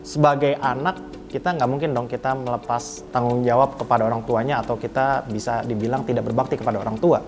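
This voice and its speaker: A man's voice: mid-range voice, steady tone, fast, faint music behind. A man in his mid twenties giving earnest, formally phrased opinions in an interview. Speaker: business development officer being interviewed. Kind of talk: interview answers, reflective opinion.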